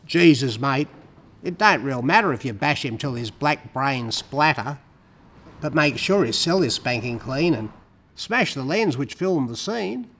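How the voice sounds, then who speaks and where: A man's voice: mid-range voice, lively intonation, a little slow, fairly bright tone. A man giving a dramatic, emphatic recitation of rhyming poetry. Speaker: poetry reader.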